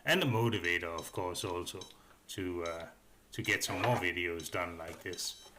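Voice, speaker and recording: Low-pitched man, hesitant casual voice-over. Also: moderate pace, background noise. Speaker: RC hobby reviewer, host. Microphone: voice-over microphone.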